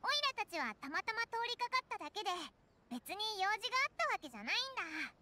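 A woman's voice: very animated, fast, background noise. A woman voicing a small, childlike fairy companion, chirpy and blunt, in an anime game. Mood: flustered, curious. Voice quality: squeaky, childlike. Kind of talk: Japanese anime game voice acting. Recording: music behind the voice.

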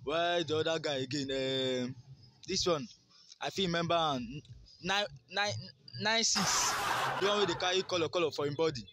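Young Nigerian man, higher-pitched voice. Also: normal speed, noisy background. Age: young adult. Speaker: street interviewee. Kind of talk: casual street interview in Pidgin. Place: outdoors by a garden wall. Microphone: phone held near the mouth by interviewer.

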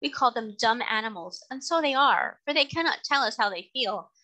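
A woman voicing a kind, gentle lady who speaks politely and earnestly in a storybook reading. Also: a little high, expressive, moderate pace, voice pushed up, poor audio. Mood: gently persuasive. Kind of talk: audiobook character reading.

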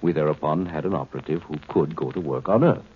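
An actor playing a calm, condescending male alien captor in a 1950s radio drama, speaking in a measured, lecturing way. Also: extremely low pitch. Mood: cold. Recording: tinny old broadcast recording, faint hiss.

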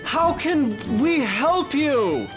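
A man playing a frightened but brave young adventurer in a radio play, with anxious, halting questions. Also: very high voice, animated, over music, full character voice. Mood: nervous, halting.

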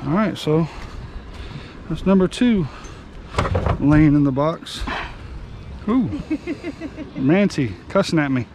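High-voiced folksy fishing host. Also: male voice, very animated, slow pace, long pauses. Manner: folksy and upbeat, addressing viewers as y'all. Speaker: fishing channel host and charter captain. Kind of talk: casual vlog narration. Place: open boat on the water outdoors. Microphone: action camera on the boat. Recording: outdoor boat recording with engine and water noise.

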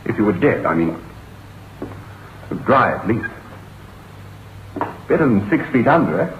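An actor playing a glib, excitable man in an old-time radio drama, with theatrical delivery. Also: on the low side, a little slow. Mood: wry, flippant. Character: flippant, excitable male friend from town. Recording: tinny, noisy old radio recording.

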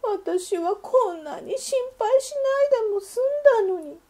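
Wailing, high-pitched little duck child. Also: female voice, animated, a little slow, dark tone. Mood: sobbing, wistful. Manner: wailing, sobbing, childlike pleading. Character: tearful, anxious little duck child fretting over a loss. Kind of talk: children's storybook reading.

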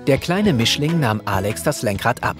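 A man's voice: mid-range voice, lively intonation, normal speed, over music. A man narrating a children's audio drama as a scripted storyteller.

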